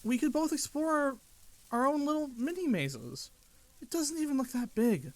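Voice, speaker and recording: A man's voice: very high-pitched, very animated, normal speed, a little hiss or hum, exaggerated voice. A man playing an evasive, defensive young boyfriend in a naturalistic radio drama. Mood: coaxing.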